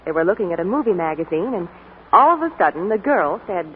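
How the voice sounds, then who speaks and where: A woman's voice: fairly low pitch, big pitch swings, quick pace. An actress playing a chatty, bubbly young woman in a 1940s radio soap commercial. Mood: gushing. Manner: bubbly, gushing. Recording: old AM broadcast, narrow and hissy.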